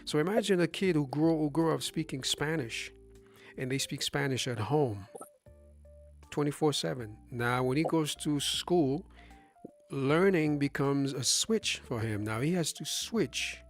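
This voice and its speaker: A man's voice: fairly high pitch, unhurried, soft background music. A man hosting a live stream in a casual discussion, talking emphatically, putting rhetorical questions to his listener and often restarting his phrases. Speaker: livestream host.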